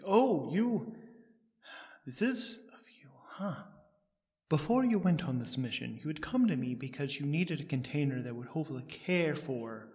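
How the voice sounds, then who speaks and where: Nervous male scientist acted by a man, audio drama, agitated and halting, highish voice, reverberant space. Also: expressive, a little mellow, close to an everyday voice. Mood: surprised. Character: nervous, excitable male holography expert, wary and fretful. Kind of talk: solo audio drama role-play.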